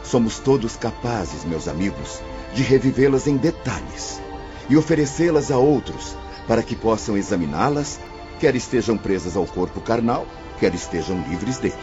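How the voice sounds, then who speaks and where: A man's voice: lowish voice, animated, normal speed, natural voice. A man playing a wise male spirit assistant who teaches newcomers in a reflective, instructive way in an audio drama. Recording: dull audio with soft music under it.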